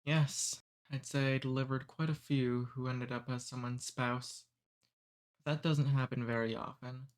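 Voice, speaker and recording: A courteous demon who abducts humans speaks softly and at an easy pace, as a man acts him out in an ASMR roleplay. He is amused and gently teasing, never threatening, and his voice sits in a plain middle register. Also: mid-range voice, fairly even intonation, a little slow, close to an everyday voice. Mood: amused cordial.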